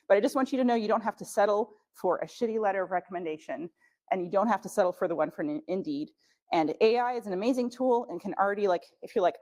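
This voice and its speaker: A woman's voice: medium pitch, darkish tone. A young woman whose first language is German, giving casual, frank advice at a scholarship workshop with plenty of fillers. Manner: chatty, frank, many fillers.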